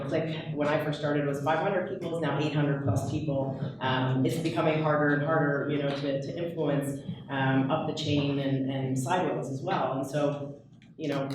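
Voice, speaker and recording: A woman on a conference panel giving a measured answer with examples and fillers. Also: low-pitched, noisy background. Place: large echoey event room, seated. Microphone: handheld mic. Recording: echoey room from far off.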